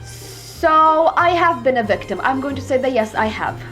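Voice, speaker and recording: A young woman vlogger talks to camera in a high, bouncy voice, reading quiz questions aloud and snapping back with sarcastic, profane answers. Soft music plays faintly underneath. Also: lively intonation, normal speed. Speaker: YouTuber. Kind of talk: reacting to online quizzes to camera.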